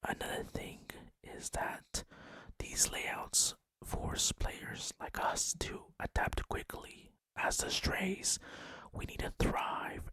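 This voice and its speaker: A gaming channel host whispering ASMR facts in a breathy, hushed and deliberate way, close to the microphone. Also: very high voice, dry recording.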